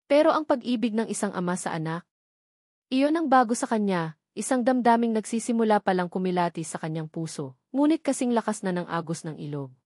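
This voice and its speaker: A woman's voice: medium pitch, animated, fairly quick, darkish tone, no room sound, studio quality. A woman with a Filipino accent giving a dramatic scripted narration of a romance story.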